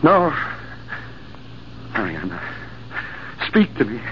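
An impassioned, grief-mad cavalry captain. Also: male voice, fairly low pitch, huge pitch swings, takes it slowly, background noise, exaggerated voice. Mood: stricken. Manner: impassioned, theatrical. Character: grief-mad young cavalry captain avenging his love. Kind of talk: 1940s radio acting. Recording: dull old radio recording.

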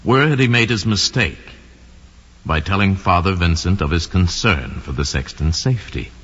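An actor playing an ominous narrator of crime case histories, solemn and theatrical, in the style of 1940s radio drama. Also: male voice, sits low, expressive, moderate pace, a bit bright, slightly rough, heavily acted. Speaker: announcer and narrator. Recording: dull, reverberant old radio recording.

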